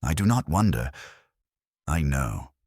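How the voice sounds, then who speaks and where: A stern, ponderous detective of an audiobook mystery, played by a male narrator. The voice is extremely low and rough-edged, and he pronounces each terse judgment formally, without sentiment. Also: sing-song, slow pace, darkish tone, no room sound, studio quality, exaggerated voice. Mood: grave, dismissive.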